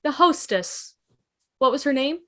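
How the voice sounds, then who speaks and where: A woman's voice: high voice, lively intonation, measured pace, a bit bright. A woman playing a shaken, helpless male time-travelling alien hero in an amateur drama read over a video call.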